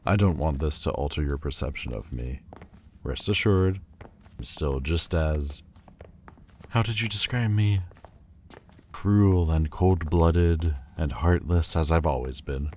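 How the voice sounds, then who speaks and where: A man playing a proud, wounded demon lord in an audio roleplay, guarded but vulnerable, with halting, stiffly formal, wry delivery. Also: extremely low pitch, darkish tone, slight background noise. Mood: reluctant, guarded. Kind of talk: intimate audio roleplay acting. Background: ambient sound effects.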